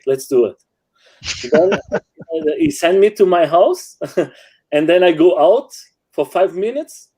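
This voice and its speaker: A man from Portugal speaking halting English as a guest, with many fillers as he tells stories. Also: high-pitched, dark tone. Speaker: guest rider. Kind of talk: casual chat in non-native English. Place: home.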